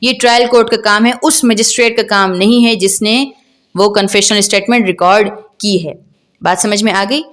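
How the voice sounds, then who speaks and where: A woman's voice: medium pitch, steady tone, normal speed, fairly bright tone. A woman with a Pakistani accent giving an explanatory law lecture, reading out and paraphrasing in a didactic way, often checking understanding with questions.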